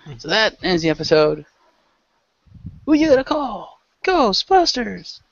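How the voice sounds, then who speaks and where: Male game master, higher register, casual narration and banter, lo-fi dry recording. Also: big pitch swings, unhurried, creaky, a bit bright, dry recording.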